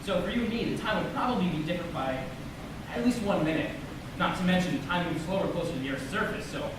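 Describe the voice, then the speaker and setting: A man's voice: high voice, expressive, fast talker. A man playing an earnest, literal-minded teenage boy in a stage play, explaining things pedantically and in great detail, with stumbles. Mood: earnest, pedantic. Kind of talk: amateur one-act stage play acting. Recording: echoey distant stage recording, noisy.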